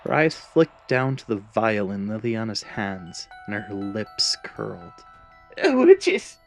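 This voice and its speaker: A man's voice: fairly low pitch, measured pace, creaky, fairly bright tone, soft background music. A man narrating a scripted fantasy story in a dramatic storytelling style.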